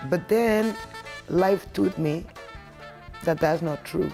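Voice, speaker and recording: A woman's voice: on the low side, slow pace, gravelly, loud music behind the voice. A woman from Spain, a native Spanish speaker, answering interview questions emphatically, repeating herself and saying "you know" often. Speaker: interviewed singer.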